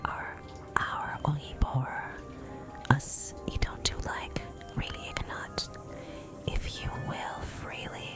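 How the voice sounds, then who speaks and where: Exasperated, wordy female confronter, acted by a woman, radio play; whispered, loud music behind. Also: slow, lightly acted. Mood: bitter, exasperated. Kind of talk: experimental radio play monologue.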